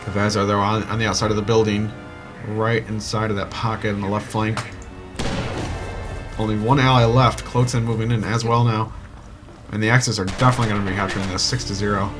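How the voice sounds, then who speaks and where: Male shoutcaster, low voice, live play-by-play, music and gunfire loud behind him. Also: a little fast. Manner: rises excitedly at kills, unsure over player names. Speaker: esports shoutcaster. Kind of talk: live game commentary.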